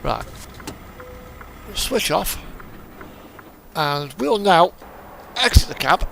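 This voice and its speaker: Higher-pitched man chatting through gameplay. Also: sing-song, extremely slow, creaky, pushed and bright, a little hiss or hum. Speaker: video game player and host. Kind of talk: casual gameplay commentary.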